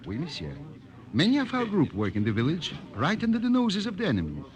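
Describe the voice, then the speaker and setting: An actor playing a jovial, boastful French resistance leader in a theatrical 1950 radio drama, with a French accent. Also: male voice, on the high side, huge pitch swings, quick pace. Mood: proud, confiding. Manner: exuberant, effusive, chuckling, theatrical. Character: jovial, boastful French resistance fighter leading a forest band. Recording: old 1950s radio broadcast with background noise.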